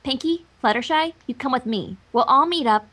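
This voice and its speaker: A woman's voice: sits high, normal speed, fairly bright tone. A woman voicing a brainy, bossy little female unicorn who explains things wordily, in a cartoon story reading. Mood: planning. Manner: wordy, explanatory.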